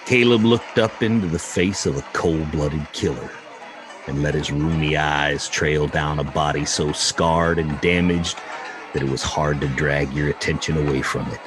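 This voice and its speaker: Deep voiced guy narrating a tale, slow n theatrical, music and crowd behind. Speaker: story reader. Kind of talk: dramatic audiobook narration. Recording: music and crowd murmur underneath.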